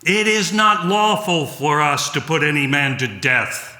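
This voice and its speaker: A man voicing an angry, insolent crowd of priests, loud and insistent. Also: on the high side, expressive, unhurried, heavily acted. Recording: echoey room sound.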